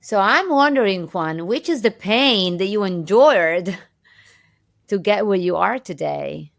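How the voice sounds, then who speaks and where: A woman's voice: mid-range voice, lively intonation, a little slow, gravelly, no room sound. A woman co-hosting a conversational interview, reflecting expressively on what her guest has said. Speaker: podcast host and coach.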